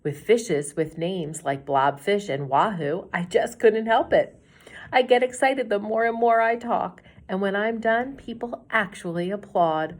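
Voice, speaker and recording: A middle-aged woman teacher reading a children's chapter book aloud in a gentle storytelling way, sometimes hushing to a whisper. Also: mid-range voice, unhurried.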